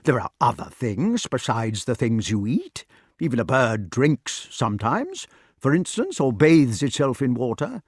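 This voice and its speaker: A man's voice: mid-range voice, very animated, a little slow, breathy edge, dry recording, clean studio sound. A man voicing an eager, learned old male wizard who lectures with enthusiasm in an audiobook reading. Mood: lecturing. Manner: lecturing, enthusiastic, mimics bird calls.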